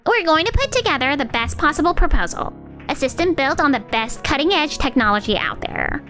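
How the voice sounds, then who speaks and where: An eager yet unsure young office rookie, played by a woman in a manga dub. Her voice is high and bounces around a lot, with light music behind it. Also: big pitch swings, a little fast, fairly bright tone. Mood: confident. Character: eager, unsure young female new hire. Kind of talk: anime-style manga dub acting.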